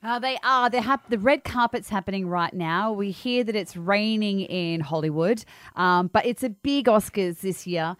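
A woman's voice: medium pitch, moderate pace, no room sound. An Australian woman co-hosting a radio show with casual on-air banter. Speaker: breakfast radio co-host. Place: radio studio.

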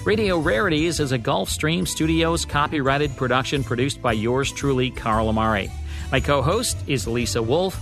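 A man's voice: mid-range voice, normal speed, fairly bright tone. An American man co-hosting a radio history show, reading scripted narration. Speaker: radio show co-host and producer. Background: background music.

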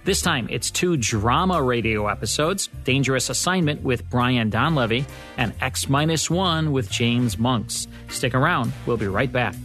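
A man's voice: medium pitch, lively intonation, a little slow, fairly bright tone. A man with an American accent hosting a radio show, reading a scripted introduction. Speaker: host. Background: music.

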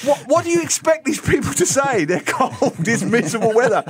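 Animated man, high lively voice. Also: a little fast. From England. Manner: animated storytelling, mimics reporters. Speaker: radio co-host. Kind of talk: casual radio chat. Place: radio studio. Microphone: studio microphone.

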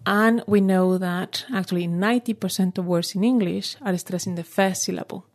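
A woman's voice: medium pitch, fairly even intonation, moderate pace, a little mellow. A woman researcher explaining her lab experiments carefully, with small restarts.

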